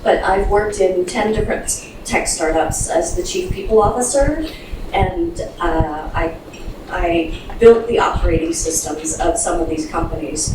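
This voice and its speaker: A middle-aged woman telling personal stories informally as a panelist. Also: lowish voice. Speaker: panelist, HR executive. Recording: echoey noisy room, distant mic.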